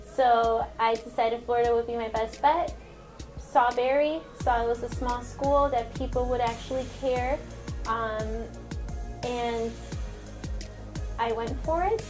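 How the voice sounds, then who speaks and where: A student in her twenties speaks candidly about her path, her voice a touch high and her tone upbeat. Music plays clearly underneath her. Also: fairly even intonation, a little slow, a little mellow. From Mexico, raised in Chicago. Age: 20s. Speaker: university student. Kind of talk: personal testimonial.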